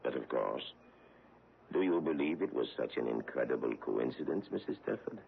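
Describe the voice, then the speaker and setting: An actor playing a cool, formal foreign agent who speaks in a deep gravelly voice, in a 1970s radio drama. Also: male voice, animated, exaggerated voice. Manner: measured, formal and controlling. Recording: tinny old radio broadcast.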